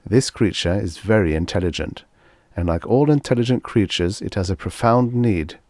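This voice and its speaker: A male narrator reads as a thoughtful explorer in a vintage science fiction story. He explains in earnest, with a fairly low voice, recorded dry and close. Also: moderate pace, a little mellow, no room sound, natural voice.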